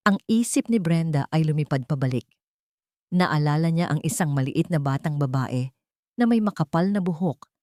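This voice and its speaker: A woman narrating a drama audiobook in an expressive storytelling manner. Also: lowish voice, no room sound, clean studio sound.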